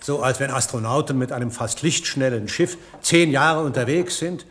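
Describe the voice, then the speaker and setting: A man acting in a radio play as an impatient scientist who lectures in a brisk, dryly ironic, didactic way. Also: mid-range voice, a bit bright, close to an everyday voice. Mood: lecturing, ironic. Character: impatient, ironic male scientist, a lecturing professor explaining theories. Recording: noisy old radio play recording.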